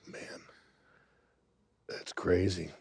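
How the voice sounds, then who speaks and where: A man's voice: drawn-out words, a little mellow. A man hosting a casual podcast chat, chiming in with short reactions and frequent laughter.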